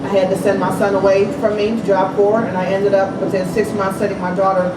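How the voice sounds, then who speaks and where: A woman's voice: medium pitch, flat delivery, fairly quick, heavy background noise. A woman in her forties telling her own story of hardship as an earnest, preaching testimony at an open mic. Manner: earnest, preaching in third person. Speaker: storyslam speaker. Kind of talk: personal testimony. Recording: echoey, far from the mic.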